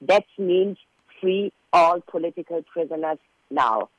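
A man whose first language is Persian, speaking earnestly and in measured phrases as an interview guest making a prepared statement. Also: fairly high pitch, dry recording, low-quality recording. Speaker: guest, relative of a political prisoner.

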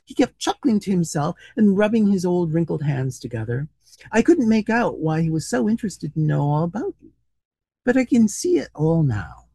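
A reader voicing an amused, worldly young English gentleman in a storybook, bantering in exclamations. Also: mid-range voice, sing-song, normal speed, creaky, breathy edge, no echo, full character voice. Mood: amused explaining.

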